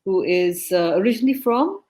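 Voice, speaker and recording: An event host introducing a speaker over a video call. The voice sits at a middle pitch. The delivery is effusive and loose, full of fillers and restarts. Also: lively intonation, fairly quick, darkish tone. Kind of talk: live online event introduction.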